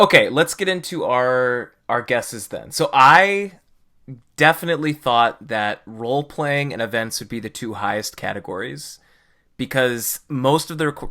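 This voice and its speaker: A man's voice: mid-range voice, sharp tone. A man hosting a podcast in casual, conversational discussion. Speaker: podcast host.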